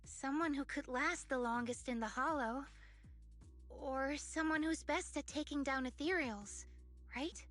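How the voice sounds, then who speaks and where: High-pitched, earnest young tribeswoman. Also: measured pace, a bit bright, soft background music. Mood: reflective, unsure. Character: earnest, curious young woman of a nomadic tribe. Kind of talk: anime game voice acting.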